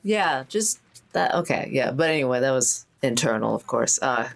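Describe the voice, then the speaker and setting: Female tabletop player, low pitch, casual game chat, informal hesitant phrasing. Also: animated, normal speed, creaky. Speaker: tabletop role-playing player.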